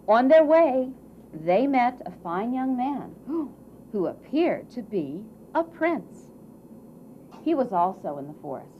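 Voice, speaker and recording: A woman narrating a scripted fairy tale for a puppet show in a theatrical way, with dramatic pauses and emphasis. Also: fairly high pitch, very animated. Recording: muffled, faint background noise.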